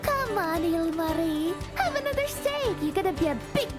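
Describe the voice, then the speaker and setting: A woman playing a teasing, excitable young female wolf villager in a visual novel, with a playful, exclamatory delivery. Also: very high voice, moderate pace, loud music behind the voice.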